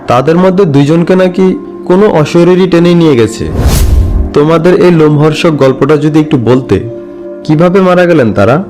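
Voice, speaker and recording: A man's voice: fairly high pitch, moderate pace, darkish tone, close to an everyday voice. A man playing a young villager narrating a cartoon story, eager to hear old tales. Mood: friendly, curious. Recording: faint music behind the voice.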